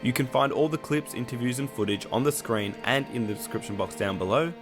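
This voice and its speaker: A man reading a scripted sports documentary introduction in an even, rehearsed way. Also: mid-range voice, brisk, over music. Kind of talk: scripted video narration.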